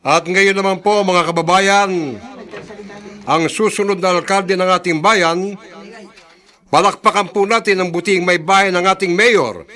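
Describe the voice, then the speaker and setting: Rally emcee: high, bright, rousing projected delivery for radio drama. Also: male voice, steady tone, measured pace.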